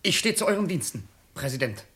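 An actor playing a dutiful, formal patrol lieutenant in a 1950s radio play. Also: male voice, on the high side, big pitch swings, a little fast, sharp tone. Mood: deferential.

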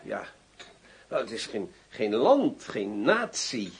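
An actor playing a cynical, smoothly menacing ex-general turned arms dealer in a radio drama, husky and formal in his delivery. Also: lowish voice, huge pitch swings, slow pace, noisy background, heavily acted. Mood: disdainful.

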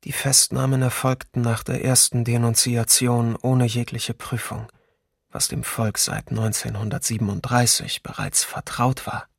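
An actor playing a sardonic memoir writer in a documentary radio play, reading soberly with dry irony. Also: male voice, mid-range voice, little pitch movement, measured pace, creaky, breathy edge, no echo. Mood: dryly bitter. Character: sardonic memoir writer looking back on occupation.

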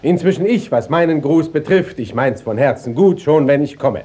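A man playing a courteous visiting court inspector in a German radio play, formal and husky. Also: fairly high pitch, lively intonation, moderate pace, warm tone. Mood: courteous, official. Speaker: actor in a radio drama.

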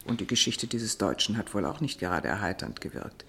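A refined society lady from a radio play, with very lively intonation. She is gossipy but careful. She speaks expressively, as if confiding. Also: very deep voice, fairly quick, a little hiss or hum, exaggerated voice. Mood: sombre, regretful. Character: cautious, gossipy society lady at a dinner party. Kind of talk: German radio play dialogue.

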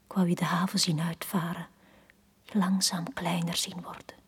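An actress playing a forlorn, lovesick woman in a radio drama from the 1980s, speaking in a hushed, breathy, poetic manner. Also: fairly low pitch, level tone. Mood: sorrowful. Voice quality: breathy, whispery. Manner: slow, hushed, poetic delivery. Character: Tanja, forlorn, lovesick woman left on the quay.

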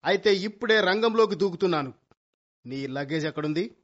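A man's voice: high voice, expressive, fairly quick, fairly bright tone. A man playing a boastful young amateur detective son in a Telugu comedy radio play, speaking in bombastic vows with English words thrown in. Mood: teasing, suspicious. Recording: dull lo-fi radio play recording.

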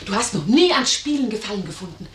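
A woman's voice: medium pitch, very animated, fast talker, recorded from a distance, lightly acted. A woman playing a bold, scornful young sister in a radio drama, confrontational and biting. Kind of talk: German radio drama acting. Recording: roomy echo, off-mic.

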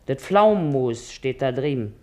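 A woman's voice: extremely low pitch, expressive, a little slow, a little mellow, a little hiss or hum. An actress playing a domineering working-class mother in a radio drama, nagging and sarcastic in Berlin-area dialect. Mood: scolding.

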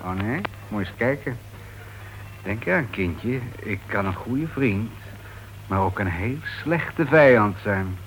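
A faintly noisy old radio play recording of a smug, menacing ex-lover. He talks down with mocking, insinuating condescension. His pitch moves freely and theatrically. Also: animated, takes it slowly. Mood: taunting, threatening. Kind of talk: Dutch radio play acting.

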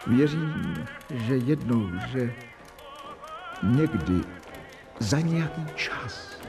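Male actor as earnest doctor confiding gravely, mid-pitched, grand phrasing, soft music underneath, radio drama. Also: lively intonation, close to an everyday voice. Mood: solemn confession. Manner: solemn, rhetorical. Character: earnest, devoted doctor, awed assistant confiding his diary.

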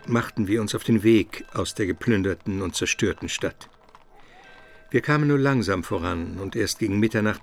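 An actor playing a calm chronicler who recounts a journey in measured, literary radio drama narration. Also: male voice, on the low side, lightly acted.

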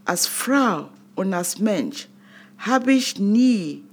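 An older woman from Cameroon, whose first language is not German, reading her own memoir aloud in a deliberate way with long pauses. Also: medium pitch, lively intonation, breathy edge. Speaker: autobiographical reader.

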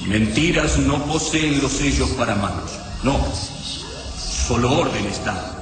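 An actor playing a solemn, haughty alien invader in a radio drama, speaking in ritual, inverted sentences. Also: male voice, medium pitch, lightly acted. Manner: ritual inverted syntax, deliberate delivery. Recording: very echoey, long reverb, music behind.

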